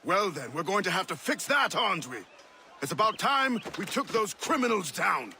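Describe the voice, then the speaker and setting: Game role: outraged male officer, piercingly bright, high, forceful. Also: very animated, moderate pace, a little hiss or hum, heavily acted. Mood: indignant. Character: indignant, blustering male army officer. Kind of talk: video game cutscene acting.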